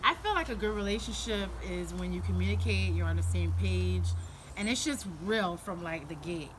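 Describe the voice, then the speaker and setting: A woman's voice: fairly low pitch, expressive, normal speed. A woman in her thirties talking casually and thoughtfully, with a lot of "like" fillers. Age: 30s. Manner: reflective, filler-heavy with repeated "like". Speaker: guest. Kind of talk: casual group discussion. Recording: noisy background.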